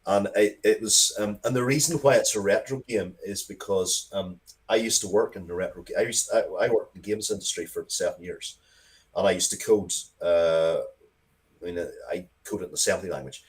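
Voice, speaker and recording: A man with a low-pitched voice talks casually as a guest in an interview. He rambles along conversationally, interrupting himself and circling back to restart his thoughts. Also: normal speed, low-quality recording. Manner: rambling, self-interrupting, restarts sentences often. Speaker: interview guest, comics and game writer.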